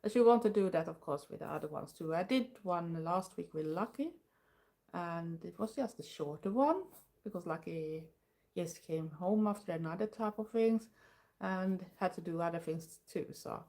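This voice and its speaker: A Swedish woman, a native Swedish speaker and older goth streamer, chatting casually in English with a Swedish accent, pausing often while she waits for her live chat. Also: on the low side. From Sweden. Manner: relaxed, chatty, pauses often to read the chat.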